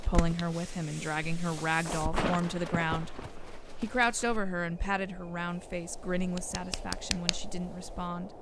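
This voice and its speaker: A woman narrates a scripted audio story. Her pitch is a bit lower than most women's. Noticeable noise and ambience sit behind her voice. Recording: clear background noise and ambience.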